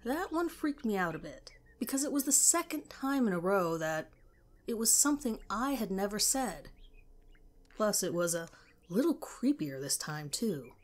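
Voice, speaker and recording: A woman's voice: mid-range voice, big pitch swings, moderate pace. A woman reading a scripted first-person story as a narrator.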